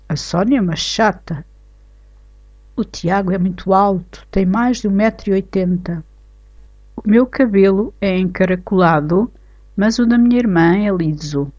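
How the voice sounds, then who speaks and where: A woman with a European Portuguese accent reading example sentences aloud in a slow, careful, clearly articulated way for a language lesson. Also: mid-range voice, warm tone. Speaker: language teacher. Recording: slightly dull lo-fi recording.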